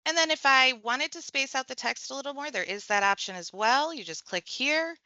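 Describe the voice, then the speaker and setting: A woman with a bright, forceful voice talks a viewer through a software tutorial one click at a time. Her delivery is casual and conversational. The recording is dry and a little dull. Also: sits high, expressive, brisk, dry recording. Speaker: tech trainer. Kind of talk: screen-share software tutorial.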